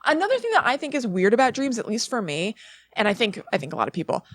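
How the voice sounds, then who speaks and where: A woman's voice: a little high, huge pitch swings, quick pace, dry recording, clean studio sound. A woman co-hosting a podcast, explaining things in a casual, informal, musing way with plenty of filler words. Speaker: podcast co-host presenting research.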